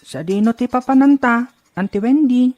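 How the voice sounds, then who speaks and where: A woman's voice: fairly high pitch, normal speed, dark tone, lightly acted. A woman voicing an eager young child in an Ilocano radio drama, with an Ilocano accent. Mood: excited.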